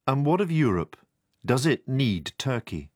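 A man reporting the news, reading measured, formal narration and putting questions to interviewees. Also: medium pitch, big pitch swings, a little mellow, no echo, clean studio sound.

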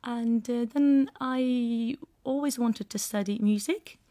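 A woman's voice: fairly high pitch, dark tone. A woman from Turkey whose first language is Turkish, speaking English with a Turkish accent as a radio guest, hesitant with many fillers. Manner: hesitant, many pauses and fillers, laughs easily. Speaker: guest singer. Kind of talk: radio interview.

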